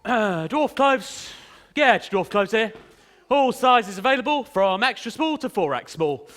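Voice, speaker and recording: A man's voice: sits high, expressive, a little slow, fairly bright tone. A man playing a cheeky street seller calling out his wares in a stage pantomime. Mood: hawking, cheeky.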